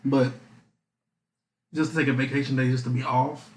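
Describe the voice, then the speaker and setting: A man's voice: mid-range voice, normal speed, roomy sound. A young man co-hosting a podcast, talking bluntly in casual slang. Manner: flat, blunt, slangy, profane. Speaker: podcast co-host. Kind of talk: casual chat.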